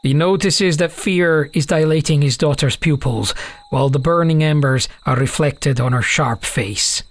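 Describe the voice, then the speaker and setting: A man narrating a scripted fantasy audiobook as a dramatic, measured, solemn storyteller. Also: fairly high pitch, dry recording.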